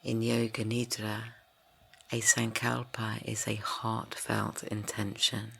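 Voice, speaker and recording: A man guiding a yoga nidra rest for grief, speaking slowly and softly, drifting into whispers. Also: on the low side, monotone, gravelly, slight background noise. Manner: slow, soft and soothing, drifting into whispers. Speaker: meditation guide. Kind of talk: guided yoga nidra meditation.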